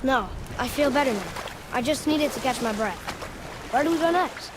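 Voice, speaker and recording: Young male actor as a high-voiced boy adventurer. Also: lively intonation, normal speed, noisy background. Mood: eager. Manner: inquisitive, plain-spoken. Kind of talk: video game character acting.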